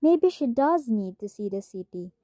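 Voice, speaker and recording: A woman's voice: a little high, very animated, moderate pace, mellow, no room sound. A young woman reading scripted storytelling narration for a radio drama. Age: young adult.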